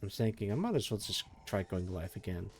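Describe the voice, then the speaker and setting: A sleepy man chatting casually and mumbling to himself on a live stream while he works, with energetic music faintly behind him. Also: fairly low pitch, fairly quick. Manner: soft, meandering, mumbles to himself, trails off. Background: faint energetic soundtrack music.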